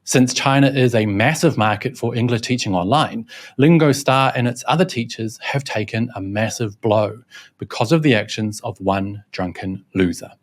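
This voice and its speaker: A man's voice: medium pitch, normal speed. Scornful young male news host. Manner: scornful, mocking. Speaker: host, reporter. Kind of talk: scripted commentary. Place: Shanghai.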